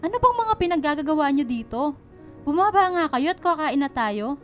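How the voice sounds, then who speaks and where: Stern, high-voiced Filipino mother. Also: big pitch swings, moderate pace, faint music behind. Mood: stern, suspicious. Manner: scolding, questioning, maternal. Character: stern but caring working mother. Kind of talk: Tagalog radio drama acting.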